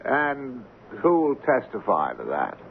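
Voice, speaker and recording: An actor playing a haughty, callous shipping company boss with a formal, condescending manner in an old-time radio drama. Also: male voice, medium pitch, huge pitch swings, takes it slowly, vocal fry, heavily acted. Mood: smugly dismissive. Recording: lo-fi, noisy radio drama recording.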